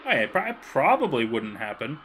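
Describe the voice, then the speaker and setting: Animated male streamer, bright voice. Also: mid-range voice, fairly quick, slight background noise. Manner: playful, joking asides. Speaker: livestream host. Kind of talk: casual livestream reaction chat.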